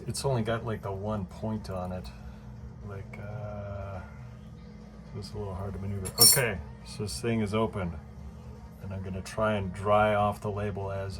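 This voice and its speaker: Chatty, excitable man with low voice. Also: noisy background. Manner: chatty and excitable, many fillers, laughs often. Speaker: vlogger. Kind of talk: casual home vlog. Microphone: phone camera.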